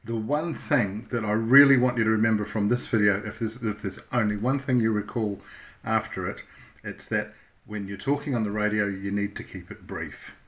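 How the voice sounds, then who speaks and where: Low-voiced man giving an informal tutorial. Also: steady tone, normal speed, a bit bright. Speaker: instructor presenting a radio how-to video.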